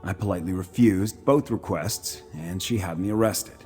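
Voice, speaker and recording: An actor playing a proud, shrewd Imperial military commander in a video game, speaking formally and with dry self-assurance. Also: male voice, low-pitched, moderate pace, darkish tone, natural voice. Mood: indignant, grim. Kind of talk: video game character dialogue. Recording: music playing behind the voice.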